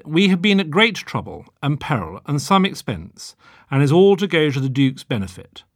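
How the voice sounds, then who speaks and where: A man's voice: fairly high pitch, sing-song, normal speed, dry recording, clean studio sound. A man voicing a poor English fisherman, meek and plaintive, pleading in an audiobook. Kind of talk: audiobook character voice.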